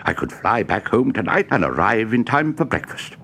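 A man voicing an excitable, absent-minded old professor in a storybook, eager and exclaiming. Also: on the low side, normal speed, a little hiss or hum, voice pushed up, exaggerated voice. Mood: delighted. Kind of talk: storybook character voice. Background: background music.

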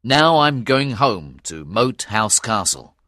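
A man voicing a stern, commanding older knight in a read-aloud storybook, slow and authoritative. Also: lowish voice, sing-song, bright, forceful tone, dry recording, exaggerated voice.

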